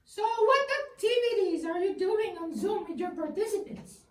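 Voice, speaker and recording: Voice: very high voice, moderate pace. A performer with a childlike voice playing a courteous puppet who hosts a scripted talk show. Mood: upbeat, courteous. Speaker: co-host and interviewer. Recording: echoey room, far from mic.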